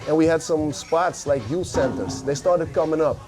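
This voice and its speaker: A middle-aged man from the Netherlands with a Dutch accent, telling stories in a casual interview, relaxed with many fillers. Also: on the high side, normal speed. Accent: Dutch, Amsterdam. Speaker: guest rapper. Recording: music playing behind.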